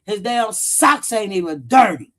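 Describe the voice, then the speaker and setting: A man's voice: sits high, animated, unhurried, a bit bright. A man hosts a casual livestream. He talks bluntly, cusses freely and hammers points by repeating them, often answering viewers as he goes. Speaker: true-crime commentary livestream host.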